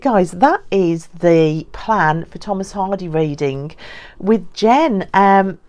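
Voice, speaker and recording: A woman with a slightly low voice chats casually to her viewers in the style of a haul vlog. She is openly enthusiastic and tends to gush, keeping it informal and friendly to follow. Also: a little mellow. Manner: enthusiastic, gushing. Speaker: book vlogger.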